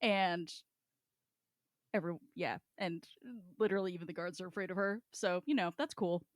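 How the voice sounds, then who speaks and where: A woman's voice: fairly low pitch, fairly even intonation, moderate pace, no room sound, clean studio sound. A woman co-hosting a podcast, chatting informally about books in a wry, sweary way. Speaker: podcast co-host. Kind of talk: casual book discussion chat.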